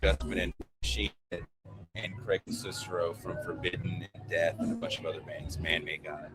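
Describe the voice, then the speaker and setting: Male podcast host, booming lowish voice, emphatic opinionated talk, prominent music in the background. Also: level tone, normal speed. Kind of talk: casual discussion and opinion. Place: bar. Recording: loud music behind the voice. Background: loud music.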